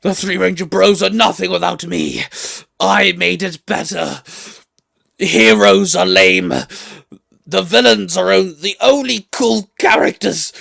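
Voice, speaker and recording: Man acting melodramatic villainous computer virus, higher-pitched. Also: measured pace, gravelly, a bit bright, exaggerated voice. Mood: panicked, pleading.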